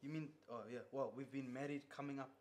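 A man's voice: mid-range voice, fairly even intonation, fast talker, darkish tone, slight background noise. A man from Africa, hesitant and joking in a casual group chat. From Cape Town, South Africa. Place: living room.

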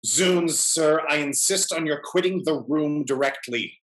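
A middle-aged man playing a scheming, flustered gentleman in a period stage comedy, declamatory and exasperated. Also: a little high, measured pace. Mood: impatient. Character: scheming, blustering English gentleman nephew, easily flustered. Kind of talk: theatrical period comedy acting over video call. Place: indoor room at home. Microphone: computer mic on video call. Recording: roomy video-call audio.

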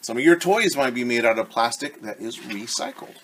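A man's voice: mid-range voice, animated, fairly quick, fairly bright tone, slight background noise. A man in his forties reading a storybook to young children as their teacher, talking directly to them and repeating key words. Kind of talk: story time read-aloud for young children. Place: living room at home, indoors.

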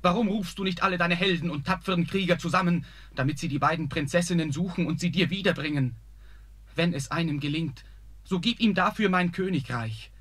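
An actor playing a young prince who calmly and earnestly reassures his father. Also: a little high, fairly quick. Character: young prince, calm and clever son.

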